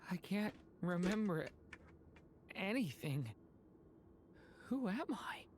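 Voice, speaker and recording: A woman voicing a lost, confused newborn dragon who talks haltingly. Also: medium pitch, big pitch swings, darkish tone, slight background noise. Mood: weak, lost. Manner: halting, fragile and pleading. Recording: game soundtrack behind.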